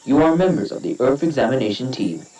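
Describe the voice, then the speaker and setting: A man's voice: mid-range voice, big pitch swings, quick pace, mellow, natural voice, rough recording. A man voicing a courteous automated security scanner in a fan audio drama, in a scripted manner. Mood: polite.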